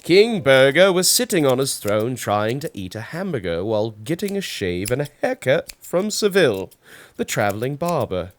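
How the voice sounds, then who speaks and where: A man reading a children's storybook aloud as an expressive narrator. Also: mid-range voice, moderate pace, slight background noise. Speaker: storybook reader.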